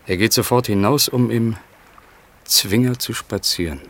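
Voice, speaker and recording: An actor in a German radio play playing a sober, detached observer who reports in measured, clipped phrases. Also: male voice, lowish voice, a bit bright. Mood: matter-of-fact.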